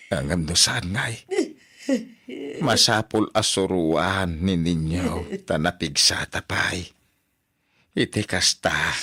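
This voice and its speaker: A man playing a resigned, grieving old grandfather in an Ilocano radio drama, with a husky voice and heavy delivery. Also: deep voice, bright, forceful tone, dry recording, exaggerated voice.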